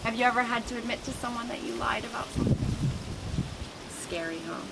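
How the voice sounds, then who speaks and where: A woman's voice: on the high side, a little fast, gravelly. A woman reading a story aloud to children in a garden, addressing listeners directly. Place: outdoor garden. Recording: noisy outdoor recording with traffic.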